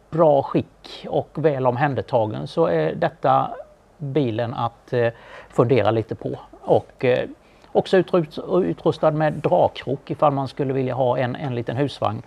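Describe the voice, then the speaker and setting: Middle-aged man, from Sweden, gravelly, casual car talk, small studio. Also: on the high side, highs cut off. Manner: knowledgeable, relaxed, with frequent fillers. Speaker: car auction presenter. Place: small studio with a brick wall.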